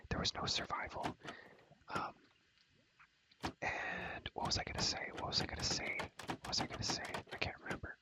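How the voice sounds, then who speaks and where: Whispering man in rambling ASMR. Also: moderate pace. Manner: rambling, repeats phrases over and over. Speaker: video creator showing a Minecraft world. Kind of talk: whispered ASMR gaming tour.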